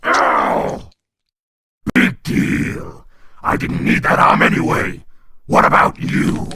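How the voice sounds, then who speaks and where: A man voicing a crude, wisecracking demon henchman in a comic dub, snide and raspy. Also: slow, pushed and bright. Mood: defiant. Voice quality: raspy, gritty. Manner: taunting, snide. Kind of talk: cartoonish comic dub villain.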